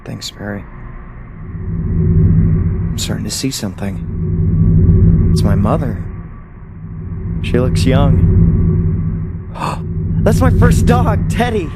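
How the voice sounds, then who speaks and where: A man's voice: medium pitch, animated, very slow, long pauses, heavy background noise. A man acting a loving, wistful and frightened husband in an audio play, speaking in a sentimental, hesitant way. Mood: frightened, awed. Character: recently deceased loving husband, tender, wistful, scared.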